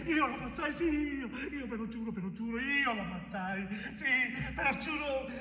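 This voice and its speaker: Stage role: frightened young man, frantic and submissive, piercing and high, distant in a reverberant hall with music. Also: expressive, moderate pace, sharp tone, full character voice. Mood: desperate, pleading. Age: young adult. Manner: frantic, pleading, stammering repetitions. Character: terrified young bricklayer forced into a false confession. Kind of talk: theatrical stage monologue acting. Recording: echoey theatre hall from far off, music behind.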